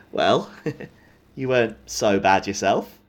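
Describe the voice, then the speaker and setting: A man with an English accent playing a conflicted militia soldier in an audio drama, halting, stammering and prone to swearing. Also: lowish voice. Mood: amused, flustered. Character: conflicted young male militia soldier, awkward then desperate. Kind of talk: naturalistic audio drama acting.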